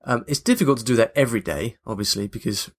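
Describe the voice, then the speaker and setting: A man's voice: mid-range voice, fast talker. A man hosting a podcast in a casual, chatty monologue, reflective and emphatic on key points. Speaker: podcast host.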